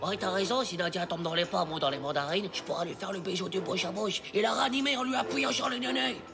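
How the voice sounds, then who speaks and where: A woman voicing a cheeky, naively romantic ten-year-old boy in an animated series, with slangy, excitable, theatrical delivery. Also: medium pitch, fast, creaky, over music, lightly acted. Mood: naive, eager.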